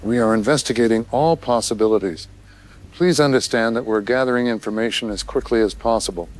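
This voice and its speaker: A man's voice: mid-range voice, a little fast, lightly acted. A man playing a guarded senior defense official in an audio drama, giving formal, placating statements. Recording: faint background ambience.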